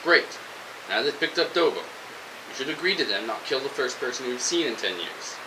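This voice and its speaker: Guy playing a starving apocalypse survivor, fed up and sarcastic, mid pitch voice, hissy audio drama sound. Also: normal speed, lightly acted. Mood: defiant, sarcastic. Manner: argumentative, sarcastic. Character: fed-up, defiant, hungry male apocalypse survivor. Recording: steady hiss, noisy radio-style recording.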